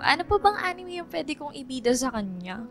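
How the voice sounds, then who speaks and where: A lovestruck young female student with a very high, whiny voice. She is excitable and dramatic, pleading with her best friend in a radio romance, with music underneath. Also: brisk. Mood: whiny, stressed. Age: young adult.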